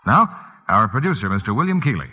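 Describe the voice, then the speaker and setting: Husky, forceful American male pitchman. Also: big pitch swings, quick pace. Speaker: commercial announcer. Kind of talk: 1940s radio advertising read. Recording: muffled old radio broadcast, long reverb.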